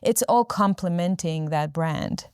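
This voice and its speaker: A woman whose first language is Hebrew talks as a guest in a podcast studio. Her voice sits somewhat low and sounds smooth and clear. The sound is dry and close, and she pauses to search for words and restarts her phrases. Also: a little mellow, no room sound. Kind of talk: conversational interview. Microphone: studio microphone.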